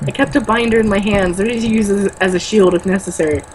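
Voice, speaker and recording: Young woman, mid-pitched, exasperated and sarcastic book reader; casual, sweary, faint noise on a homemade recording. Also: fairly even intonation, fast, poor audio. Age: young adult. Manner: sarcastic, exasperated, foul-mouthed. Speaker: co-host reading a book aloud with mocking commentary. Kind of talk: casual read-aloud with exasperated commentary.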